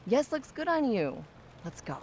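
A woman's voice: on the high side, very animated, unhurried, very noisy. An actress playing a teasing, self-assured young woman, a protective friend, in an audio drama. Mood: teasing, reassuring. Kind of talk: audio drama acting, conversational.

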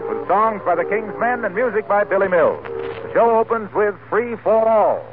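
A man's voice: high voice, big pitch swings, normal speed, loud music behind the voice. An American man working as a 1940s radio announcer, reading scripted introductions and advertising copy. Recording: tinny, hissy 1940s radio broadcast.

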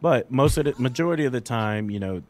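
A man's voice: mid-range voice, animated, fast talker, darkish tone. A man in his forties talking in a casual, rambling and candid way, self-deprecating about his own life. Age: 40s. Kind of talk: casual chat.

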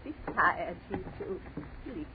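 Swooping, coaxing old aunt, old radio. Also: female voice, on the low side, expressive, slow pace, vocal fry, crowd noise, exaggerated voice. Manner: repetitive, soothing, insistent. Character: elderly aunt, coaxing yet firm and secretive. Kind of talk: 1940s radio horror acting. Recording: noisy, tinny 1940s radio broadcast.

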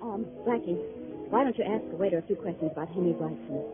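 A woman's voice: on the low side, quick pace, lightly acted. A woman playing a curious, playful young sidekick and sweetheart to a detective in a 1940s radio drama. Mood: chatty, inquisitive. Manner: eager, questioning, playful. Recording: tinny old radio recording, music behind.

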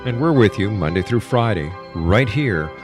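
Deep-voiced radio host. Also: male voice, animated, moderate pace, over music. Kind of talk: talk radio hosting, interview. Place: broadcast studio.

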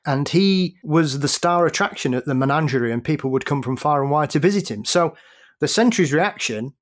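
A man's voice: on the high side, lively intonation, fairly quick. A man, a podcast guest who laughs often and recounts historical stories in detail. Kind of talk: casual podcast conversation.

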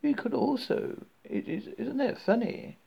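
A man voicing a polite, measured middle-aged woman shopping. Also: extremely high pitch, creaky, husky, highs cut off, pitched above the performer's own voice, full character voice.

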